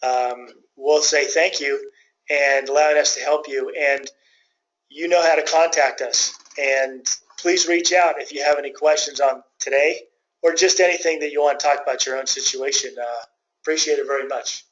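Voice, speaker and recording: Man with higher-pitched voice, dull muffled call audio. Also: fairly even intonation, fairly bright tone, slight background noise. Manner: folksy, conversational, thinking aloud with hesitant pauses. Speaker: financial advisor, company president hosting client call. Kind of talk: informal explanatory presentation to clients. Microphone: conference call line.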